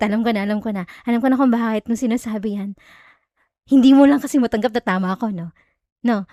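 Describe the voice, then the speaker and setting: A woman acting out an obsessive, possessive stalker in an ASMR roleplay, coaxing and menacingly sweet, with halting stammers and giggly veiled threats. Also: high-pitched, mellow, dry recording, clean studio sound, lightly acted.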